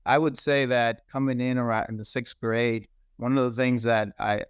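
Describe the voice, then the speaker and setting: A man from the Dominican Republic, a cybersecurity executive, telling his story as an interview guest in a measured, earnest way and pausing often on "uh". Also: mid-range voice, fairly even intonation, clear, resonant tone, no echo. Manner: measured, earnest, pauses with frequent "uh". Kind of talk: reflective personal storytelling.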